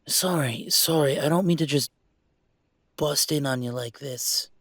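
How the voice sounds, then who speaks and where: A man acts out a troubled young man in an intimate audio drama. His voice is husky and close and dry. He talks slowly and haltingly, rambling and repeating words with raw emotion. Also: a little high, fairly bright tone, dry recording, exaggerated voice. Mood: agitated, distressed. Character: upset young male werewolf streamer venting about his father.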